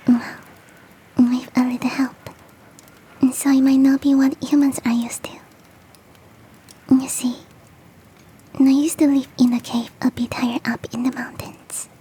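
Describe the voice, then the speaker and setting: A woman voicing a big, fluffy, motherly and flirty yeti in a soft, teasing, whispered ASMR roleplay. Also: high voice, breathy edge, heavily acted, low-quality recording. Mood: reassuring, cozy. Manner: tender, teasing, coaxing.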